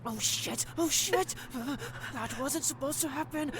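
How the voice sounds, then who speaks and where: An actress playing a nervy, threatening street mugger in an audio drama, edgy and stammering. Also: female voice, high-pitched, unhurried, noisy background. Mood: panicked. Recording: music behind the voice.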